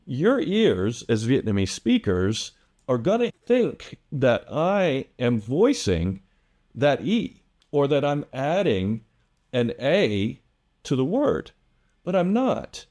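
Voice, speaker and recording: A man teaching English pronunciation to camera, speaking deliberately and drawing out example words. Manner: lively, animated pitch. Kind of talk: direct-to-camera lesson.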